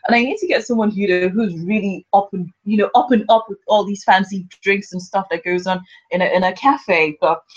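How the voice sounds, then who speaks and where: A woman hosting a casual interview podcast, chatty, rambling and self-deprecating, her voice husky from a cold. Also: mid-range voice, fairly even intonation, brisk, hardly any pauses, lo-fi sound. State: has a cold. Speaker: podcast host.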